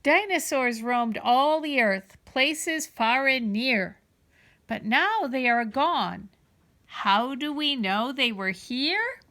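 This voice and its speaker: Woman, high-pitched bright voice, children's storybook reader, emphatic and deliberate delivery. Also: lively intonation. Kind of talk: read-aloud children's picture book narration.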